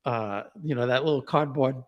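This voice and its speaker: A man's voice: mid-range voice, moderate pace, long reverb tail, muffled recording. A man with a New York Queens accent, telling stories in an easygoing way in casual conversation. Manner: storytelling, easygoing, chuckles often. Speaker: podcast host and author. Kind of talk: casual interview chat.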